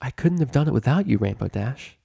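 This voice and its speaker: A man voicing a gentle, emotional young pony mother, soft and hesitant, in a story reading. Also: mid-range voice, lively intonation, brisk, vocal fry, husky, pitched above the performer's own voice, exaggerated voice. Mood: weary.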